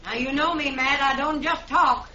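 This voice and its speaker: A woman's voice: high voice, steady tone, moderate pace, bright, forceful tone, slight background noise. An actress playing a defiant, stubborn woman homesteader who threatens intruders fiercely, in a 1950s radio drama. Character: defiant armed woman homesteader defending her land. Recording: roomy, muffled old radio broadcast.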